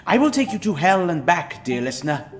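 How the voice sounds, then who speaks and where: A man playing a gleefully menacing storyteller who introduces dark tales in an audio drama, theatrically addressing the listener. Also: sits high, sing-song, brisk, over music. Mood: gleeful menace. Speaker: narrator introducing horror stories.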